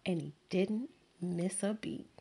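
Slow breathy woman reading a picture book. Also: medium pitch. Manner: slow, expressive storytelling with sound words and character voices. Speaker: teacher reading aloud. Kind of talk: children's picture book read-aloud.

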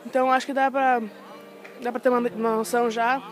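A woman's voice: on the high side, flat delivery, quick pace, faint music behind. A young woman, a student answering casually in an outdoor interview. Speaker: university student. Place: outdoor campus steps. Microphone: handheld reporter mic.

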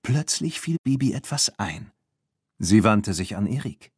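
Animated male storyteller, lively pitch. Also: a little slow, slightly rough, no echo, studio quality. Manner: animated storytelling, acting out dialogue. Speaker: audiobook narrator. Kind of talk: scripted narration of a children's story.

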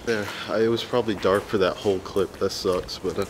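A young man casually narrating a handheld vlog while exploring an abandoned factory, relaxed and slangy, joking with his viewers. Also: on the low side, a little fast, background noise. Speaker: urban explorer vlogger. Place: abandoned factory, large indoor space. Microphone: handheld camera mic.

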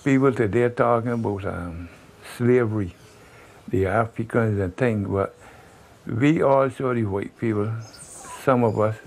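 An older man speaks hesitantly in casual remarks, his voice slightly low. Faint ambient noise sits around him indoors. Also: a little slow, a little mellow, poor audio.

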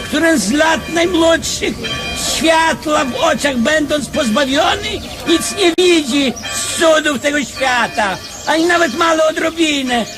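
An actor playing a crafty, grumbling blind beggar in a radio play, with rustic eastern Polish borderland speech and a theatrical alms-seeking chant. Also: male voice, very high voice, unhurried, over music. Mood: pleading, declaiming.

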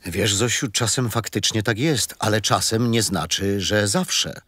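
An actor playing a kindly grandfather who tells his grandchildren bedtime stories gently and expressively in a children's radio play. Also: lowish voice, measured pace, slightly rough, no echo. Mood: tender.

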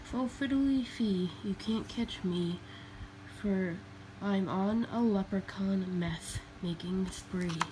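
Woman voicing a boastful little leprechaun man, sly, playful sing-song rhymes, storybook read-aloud, unhurried, some background noise. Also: medium pitch, close to an everyday voice. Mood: gleeful, taunting. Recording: clearly noisy home recording.

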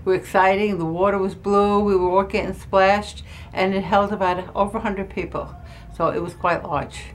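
An older woman interviewed in a small ship cabin, answering in a halting way, hunting for words and restarting. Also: mid-range voice, little pitch movement, low-quality recording. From New York area. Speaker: interviewee, cruise passenger. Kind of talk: casual chat. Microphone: handheld camera close up.

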